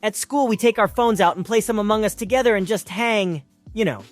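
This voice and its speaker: A man's voice: sits high, brisk, soft background music, close to an everyday voice. Male actor, teenage boy, gamer, guarded, laid-back, short slangy replies, audio drama. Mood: casual. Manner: terse, casual teenage slang. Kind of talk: improvised audio drama dialogue.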